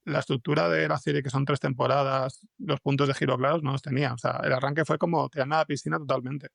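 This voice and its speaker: A man with an accent from Spain telling anecdotes as an interview guest, in a halting way with many restarts. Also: mid-range voice, level tone, vocal fry, fairly bright tone, no echo, clean studio sound. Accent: Spanish (Spain). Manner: halting, restarts sentences often. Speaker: podcast fiction writer, interview guest. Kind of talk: conversational interview, anecdotal storytelling.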